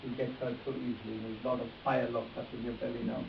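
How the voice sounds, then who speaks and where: A man's voice: mid-range voice, little pitch movement, quick pace. A man teaching face reading in a live demonstration, addressing volunteers directly and repeating his instructions as he goes. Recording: echoey, distant mic, dull sound with hiss.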